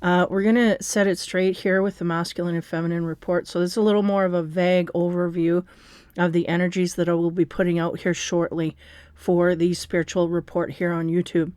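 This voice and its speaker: A woman with a raspy, hoarse voice giving a casual tarot card reading, rambling and restarting her sentences with many fillers. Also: fairly low pitch, little pitch movement, a little fast, darkish tone, dry recording.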